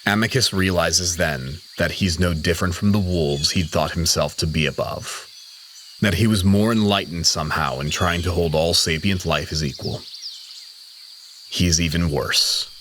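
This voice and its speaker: A man reading scripted dramatic fiction as narrator, in a solemn and measured manner. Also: deep voice, a bit bright, slight background noise.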